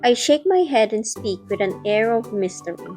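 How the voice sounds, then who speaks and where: A woman's voice: on the high side, animated, normal speed, darkish tone, soft background music. A woman reading scripted first-person narration for a romantic audio drama, also voicing its heroine.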